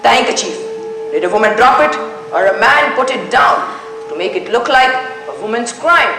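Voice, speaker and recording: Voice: medium pitch, animated, lightly acted. Young student playing a methodical detective on an echoey stage. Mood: pondering. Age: teenager. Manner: deliberate, questioning, formal, with French courtesies. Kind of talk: school stage play acting. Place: indoor school stage. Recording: echoey school stage recording with music.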